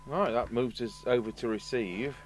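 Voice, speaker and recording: A man's voice: medium pitch, normal speed. A man giving dry, understated, step-by-step commentary as he does hands-on repair work at a workbench. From United Kingdom. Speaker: host, radio repair technician. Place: indoor electronics workbench. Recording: clear background noise with electrical hum. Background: steady hum and noise.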